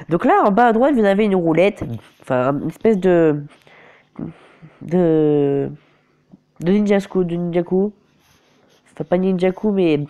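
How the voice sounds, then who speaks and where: A man's voice: high-pitched, dark tone. Hesitant guy with a cold. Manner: hesitant, often restarts and corrects himself. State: has a cold and a sore throat. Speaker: mobile game commentator. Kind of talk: casual gameplay commentary. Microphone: phone screen recorder.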